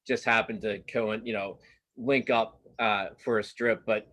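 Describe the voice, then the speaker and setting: A man's voice: fairly low pitch, fairly even intonation, fairly bright tone. A middle-aged man speaking as an interviewed cartoonist in a casual, earnest way, often interrupting himself and starting over. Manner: halting, self-interrupting, earnest.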